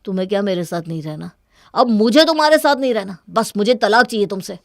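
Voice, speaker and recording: A woman's voice: mid-range voice, lively intonation, fast, creaky, no room sound, clean studio sound. A woman voicing a hurt, guarded young husband in a cartoon story, with an earnest, plaintive manner. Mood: angry, hurt.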